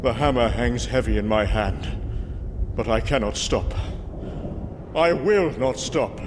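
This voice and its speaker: A man's voice: medium pitch, lively intonation, measured pace, close to an everyday voice. A man playing a proud, wrathful Norse thunder god in a comic book audio drama, declaiming grandly, on a noisy recording. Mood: grim, resolved.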